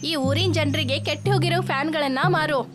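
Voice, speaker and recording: A woman voicing a greedy, bossy landlady with a scolding, haughty manner in an animated moral story. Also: sits high, brisk, loud music behind the voice, natural voice. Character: greedy, bossy female landlord who cheats customers.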